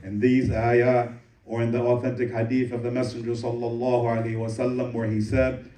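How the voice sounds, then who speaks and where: A man giving a Friday sermon as imam, reciting Arabic verses quickly and translating them into English. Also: medium pitch, flat delivery, dark tone. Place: mosque prayer hall. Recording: echoey room, voice from a distance.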